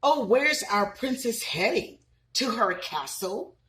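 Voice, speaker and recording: Sneering young storybook unicorns teasing a classmate in a sing-song, taunting voice that swoops up and down, put on by a woman reading aloud. They drag their jeers out slowly. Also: a little high, lively intonation, a bit bright, pitched above the performer's own voice, poor audio. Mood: mocking. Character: mocking young unicorns teasing a golden one.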